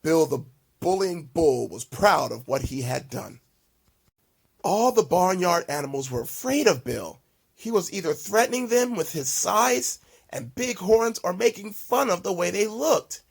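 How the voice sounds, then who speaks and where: A man reads a children's story as its host, with very lively, swooping intonation. His fairly high voice has a gravelly edge and a dramatic, expressive delivery. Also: unhurried. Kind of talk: animated storybook narration.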